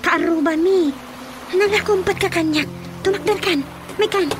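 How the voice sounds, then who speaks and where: A woman's voice: very high voice, normal speed. A woman with an Ilocano accent playing a friendly, chatty village woman in a radio drama. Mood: friendly, explaining. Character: friendly village woman, an older-sister type. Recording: noisy ambience behind the voice.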